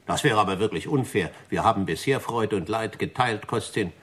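An actor playing an eager, credulous gentleman, a restaurant regular, speaking formally and earnestly in a 1960s German radio drama. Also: fairly low pitch, a little fast, husky. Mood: earnest, warm.

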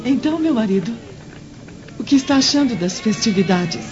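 An actress playing a gentle, dutiful Roman noblewoman and wife in a radio drama, with a formal, wistful manner. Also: on the high side, animated, moderate pace, lightly acted. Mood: tentative. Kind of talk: theatrical radio drama acting. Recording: dull radio drama with faint music.

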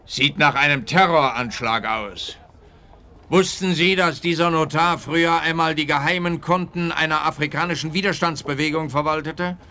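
A man's voice: a little high, moderate pace, sharp tone. An actor playing an exasperated, self-righteous policeman in a radio play. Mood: matter-of-fact, smug.

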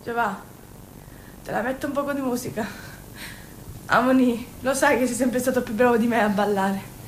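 A woman's voice: steady tone, normal speed, natural voice. An actress playing a brash, dreamy young Sicilian woman, poor and yearning, in an emotional theatrical monologue that swings between confiding and exclaiming. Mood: tender, nostalgic. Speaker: stage actress. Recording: roomy sound, faint low rumble.

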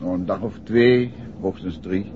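An actor playing a composed, courteous married detective in a 1960s radio drama, speaking with a Dutch accent. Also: male voice, deep voice, measured pace. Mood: calm, decisive. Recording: muffled 1960s radio recording, faint noise.

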